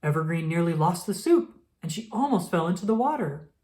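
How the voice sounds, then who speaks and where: A woman reading a children's book aloud as an expressive storyteller. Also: fairly low pitch, fairly quick, rough recording.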